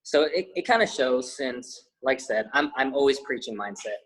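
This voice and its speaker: Husky-voiced young man, loose and rambling. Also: medium pitch, animated, moderate pace, poor audio. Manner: loose, rambling, many fillers and restarts; says he has a loud voice. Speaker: fitness trainer, guest. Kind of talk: casual interview chat.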